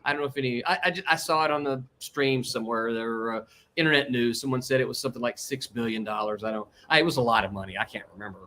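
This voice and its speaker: A man co-hosting a casual livestream chat from an outdoor balcony over a video call, talking loudly and laughing often as he reads viewer comments. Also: mid-range voice, quick pace, slight background noise. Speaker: podcast co-host. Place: outdoor second-floor balcony. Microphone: earbuds on a video call.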